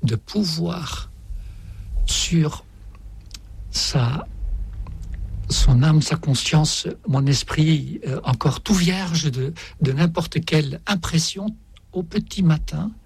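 A man with a Dutch or Flemish accent speaking French, a priest answering reflectively in an interview with deliberate phrasing and repetitions for emphasis. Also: medium pitch, vocal fry, breathy edge. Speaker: Jesuit priest, author, interview guest.

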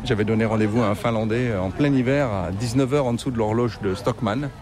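A man's voice: lowish voice, fast, a crowd in the background. A man with an accent from France, chatting casually and telling anecdotes outdoors in the city. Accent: French from France. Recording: faint outdoor city noise. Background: faint street ambience, vehicles.

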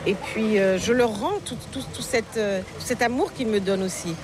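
A woman with a Parisian French accent, a novelist being interviewed outdoors, speaking thoughtfully with pauses and restarts. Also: fairly high pitch. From Guadeloupe, raised in Paris. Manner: thoughtful, pausing with frequent fillers and restarts. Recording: outdoor street recording with crowd noise. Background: crowd chatter and passing vehicles.

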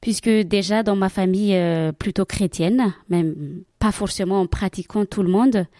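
Hesitant woman, mellow voice. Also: medium pitch, moderate pace, no echo, studio quality. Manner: hesitant, many fillers and restarts. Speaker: nun and catechist. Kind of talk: radio interview answers.

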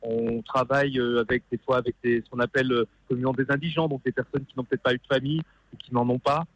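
A man calling a radio show by phone, giving earnest personal testimony and haltingly repeating words as he searches. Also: mid-range voice, little pitch movement, no echo. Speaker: phone-in caller, funeral worker. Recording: dull band-limited phone call.

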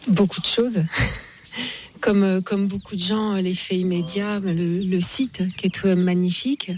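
A woman's voice: medium pitch, fairly even intonation, unhurried, darkish tone, a little hiss or hum. A middle-aged woman from Paris, a guest in a casual outdoor interview at a building site, talking hesitantly with many fillers and restarts.

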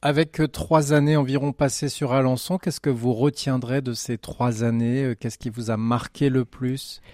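A man's voice: mid-range voice, steady tone, moderate pace, few pauses, darkish tone, dry recording. Guy interviewing someone, easygoing questions, dry close mic. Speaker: radio interviewer.

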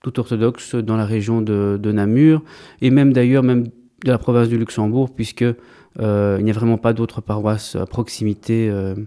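Man in his 30s, priest being interviewed, low-ish mellow voice, halting delivery with lots of fillers. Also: steady tone. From Greece, raised in Belgium. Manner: hesitant, many fillers and restarts. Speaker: Orthodox priest. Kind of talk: interview answers.

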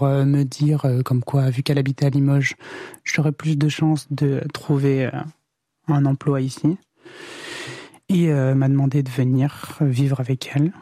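A young man in his twenties tells his own story in a slow, subdued way. His voice is husky and mellow with a flat melody. It is recorded close and dry. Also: mid-range voice, no echo. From France. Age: 20s. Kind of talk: recorded personal testimony.

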